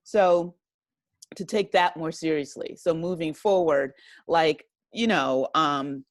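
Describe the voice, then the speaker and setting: A woman's voice: low-pitched, slow pace, no room sound, studio quality. A woman speaking as an interview guest, reflective and appreciative, in a casual conversational style. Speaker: interview guest, writer and director.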